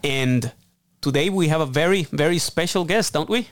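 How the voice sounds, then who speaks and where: A man hosting a faith talk radio show, soft-spoken and reflective. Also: fairly high pitch, moderate pace, no room sound, clean studio sound. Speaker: radio host.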